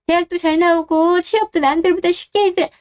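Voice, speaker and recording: A woman's voice: very high-pitched, fairly even intonation, a little fast. A woman playing a domineering, scornful older mother-in-law in a melodramatic radio drama, scolding and indignant. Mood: defensive.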